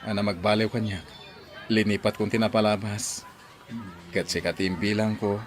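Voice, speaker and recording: A male actor as a devoted uncle in love, in a radio drama. His voice is on the low side, with earnest, heartfelt delivery. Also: flat delivery, moderate pace, faint music behind, lightly acted. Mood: tender, happy. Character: doting, pleading uncle and suitor of the nanny. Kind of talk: Ilocano radio drama acting.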